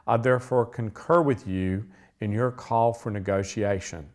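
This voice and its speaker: Guy reading an old letter out loud, lowish voice. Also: fairly even intonation, moderate pace. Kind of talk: reading a historic letter aloud.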